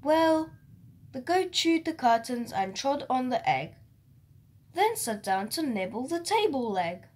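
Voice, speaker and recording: A woman reads a rhyming children's story aloud with expression. Her voice is high and very varied in pitch, and she takes her time over every phrase. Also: sing-song, measured pace, a little mellow.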